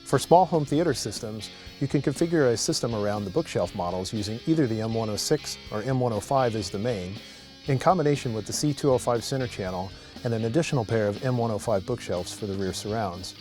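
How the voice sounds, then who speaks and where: A man's voice: fairly low pitch, brisk, darkish tone. Polished male promo narrator over guitar music. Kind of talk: polished scripted product narration.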